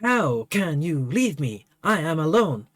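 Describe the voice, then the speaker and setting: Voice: mid-range voice, very animated, a little slow, a little hiss or hum. A reader voicing Liaya, a heartbroken young woman in a stage tragedy, wailing in an overwrought, hammy way. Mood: despairing.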